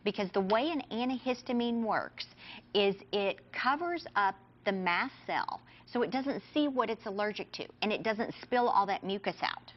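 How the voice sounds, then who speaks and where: Woman pharmacist, brisk, confident, lots of fillers, slightly muffled studio sound. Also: medium pitch, animated. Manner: brisk, confident, many "you know"s. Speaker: pharmacist guest. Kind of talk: TV interview, explaining.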